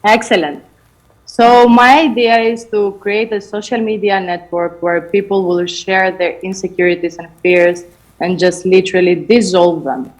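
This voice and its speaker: Confident woman from Albania pitching. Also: fairly even intonation, unhurried, poor audio. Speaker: pitching guest.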